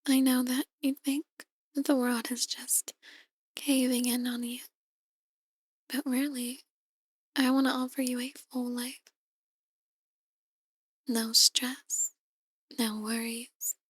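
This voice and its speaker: A seductive, possessive demon woman, voiced by an actress in an ASMR roleplay. Her voice is very high yet hushed and breathy, recorded dry and close. She coaxes slowly, often slipping into a whisper. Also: level tone, no room sound, full character voice. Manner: hushed, breathy, slow coaxing delivery. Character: soft-spoken seductive possessive female demon, outwardly a tarot reader.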